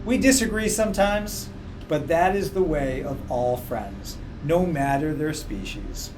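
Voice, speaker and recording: A man reading a children's book aloud in a measured, expressive way. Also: on the high side, background noise. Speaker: story reader. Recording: music playing behind. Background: background music.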